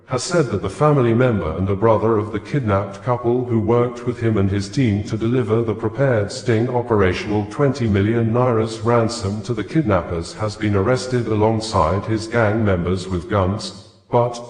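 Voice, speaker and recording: A man reads the news from a script in a fairly low voice. His delivery is formal, carried along in long sentences. The recording is roomy, with clear echo around the voice. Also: darkish tone. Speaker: news reader.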